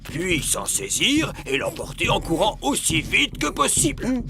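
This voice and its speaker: Very animated wise old elf. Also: male voice, high voice, a little slow, noisy background, heavily acted. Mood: solemn warning. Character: wise old male elf, knowing and officious. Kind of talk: animated cartoon dub. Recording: music playing behind the voice.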